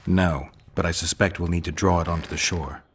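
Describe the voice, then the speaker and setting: Very deep, gruff monster hunter. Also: male voice, a little fast. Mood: dry, wry. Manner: laconic, deadpan. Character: gruff, dry-witted male monster hunter, a mutant. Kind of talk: video game voice acting.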